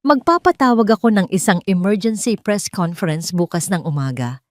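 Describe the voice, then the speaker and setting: A woman's voice: mid-range voice, lively intonation, moderate pace, no room sound, studio quality, lightly acted. A woman voicing a determined, brave female boss with a firm, impassioned delivery, in a narrated audio drama. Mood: resolute. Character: determined, brave woman boss rallying her fearful workers.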